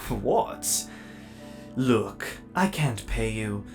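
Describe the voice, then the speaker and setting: A man's voice: lowish voice, sing-song, drawn-out words, faint music behind, exaggerated voice. Man as a haughty, swooping robot villain. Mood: dismissive. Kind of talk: animated comic dub acting.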